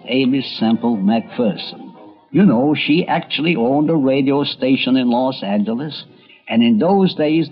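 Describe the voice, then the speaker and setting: An older man, a radio critic, reminiscing as documentary narrator with animated storytelling. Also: medium pitch. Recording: dull archival radio documentary recording.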